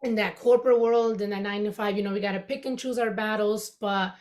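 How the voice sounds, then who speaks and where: A woman with a Dominican accent speaks as a guest in a casual interview, her voice at an ordinary middle pitch for a woman. She talks candidly and thoughtfully, often leaning on "you know". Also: steady tone, quick pace, a little mellow. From Dominican Republic. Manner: candid, reflective, with many "you know" fillers. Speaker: podcast guest, author.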